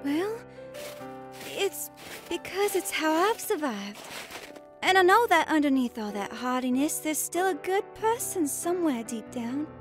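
A woman's voice: sits high, very animated, a little slow. A woman voicing a kind, wholesome young snow woman with a folksy twang, speaking earnestly in an audio drama. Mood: tender. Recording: faint music behind.

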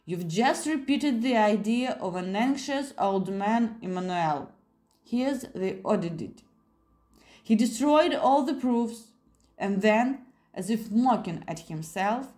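Woman voicing a slow-spoken, courteous, eccentric foreign gentleman. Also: medium pitch, expressive. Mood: excited. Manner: elaborately courteous, exclamatory. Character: strange polite foreign man, eager and theatrical.

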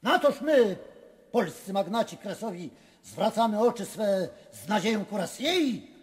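A haughty old-world nobleman in a radio play, pompous and indignant. He declaims in a high-pitched voice with long hall reverb behind it. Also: big pitch swings, unhurried. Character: pompous, indignant aristocratic Polish magnate. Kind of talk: theatrical radio drama acting.

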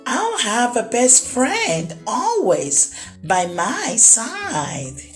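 Woman voicing an eager, high-pitched little boy. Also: huge pitch swings, extremely slow, soft background music, exaggerated voice. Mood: hopeful, delighted. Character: friendly, eager, loving little boy, a big brother.

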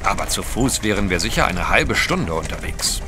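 Ein Schauspieler spielt in einem Hörspiel einen vornehmen, abenteuerlustigen britischen Gentleman, höflich und etwas wichtigtuerisch. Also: deep voice, very animated, normal speed, music in the background. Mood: gracious. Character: genteel, adventurous British gentleman, fond husband. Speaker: actor in an audio drama. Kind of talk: German audio drama acting.

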